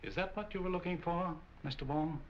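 An actor playing a suave, cold middle-aged murderer in a 1940 crime film, speaking in a clipped and controlled manner. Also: male voice, high voice, brisk, muffled recording. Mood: guarded. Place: furnished apartment film set, indoors. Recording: band-limited, hissy 1940 film soundtrack.

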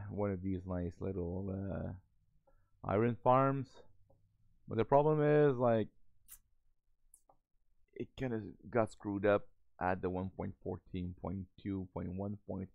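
A man's voice: fairly low pitch, vocal fry, no room sound. A man hosting a game server, talking through a casual voice-over with many fillers and restarts while losing his voice to a cold. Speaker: Minecraft server host. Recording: tinny phone-like lo-fi sound.

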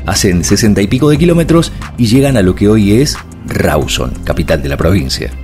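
A middle-aged man walks through a region's history and sights in an explanatory travel narration. His voice sits very low, and faint music plays underneath. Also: animated, fairly quick. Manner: explanatory, listing places one after another. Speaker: travel vlogger.

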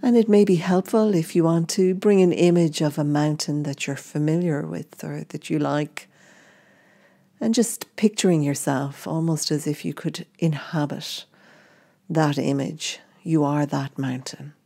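A woman gently guiding a meditation in short, unhurried phrases. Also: deep voice, creaky. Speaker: meditation guide. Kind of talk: guided meditation narration.

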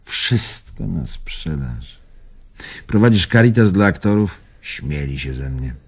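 A man's voice: deep voice, lively intonation, slow pace, husky, full character voice. An older man playing a bitter, wounded old bon vivant in a radio drama, musing theatrically and evasively. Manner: theatrical, musing, swinging between murmur and outburst.

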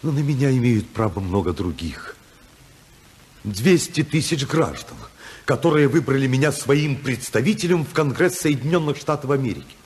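Actor playing idealistic male congressman, mid-range pitch, widely swinging intonation, earnest, oratorical, torn by love, vintage radio drama. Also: expressive, normal speed, a little mellow, close to an everyday voice. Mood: impassioned, resolute. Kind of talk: 1950s Soviet radio drama acting.

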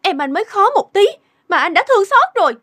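A woman's voice: very high voice, big pitch swings, fast talker. A woman playing a distrustful, nagging village wife in a cartoon, scolding indignantly. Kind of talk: animated moral tale acting.

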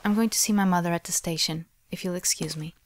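A woman's voice: lowish voice, fast talker, natural voice. A woman voicing an aloof, weary teenage girl who speaks politely and curtly in an anime parody dub. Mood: cool, polite. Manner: flat, polite, curt.

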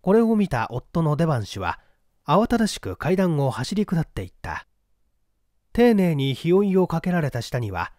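Male audiobook narrator, dramatic literary mystery reading, expressive pitch movement, dry sound. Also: dry recording.

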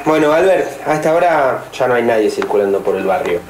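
A man's voice: mid-range voice, lively intonation, a little fast, lightly acted. An echoey room with slight noise carries an actor as an easygoing Argentine man. He is a chatty businessman in a radio play. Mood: casual, discreet. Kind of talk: radio drama acting.

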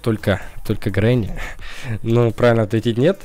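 A man's voice: mid-range voice, fairly even intonation, normal speed, mellow, soft background music. A young man, a gaming YouTuber, chatting casually over a screen recording, joking and laughing often. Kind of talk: casual let's-play commentary.

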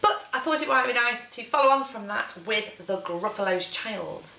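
Expressive woman reading a storybook, roomy. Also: fairly high pitch, vocal fry, distant mic, a little hiss or hum. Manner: dramatic, deliberate phrasing. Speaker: teacher reading to children. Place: living room, indoors. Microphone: camera a short distance away. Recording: roomy living-room echo.

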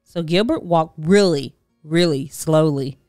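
A woman narrating a children's storybook in an expressive read-aloud style. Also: sits low, a little slow, dry recording, clean studio sound, faint music behind.

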